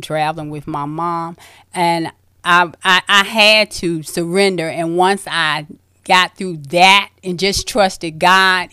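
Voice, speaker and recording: A woman hosting a faith-based interview, telling her own story in an emphatic, animated way. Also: fairly low pitch, clear, resonant tone, dry recording, studio quality. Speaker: host.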